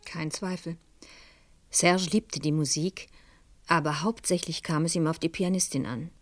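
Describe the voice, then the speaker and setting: An actress playing a grave, anxious middle-aged lady in a radio play, speaking in a measured, formal and brooding way. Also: deep voice, steady tone, no echo, soft background music. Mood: reflective. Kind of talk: 1970s German radio play acting, part narrated monologue.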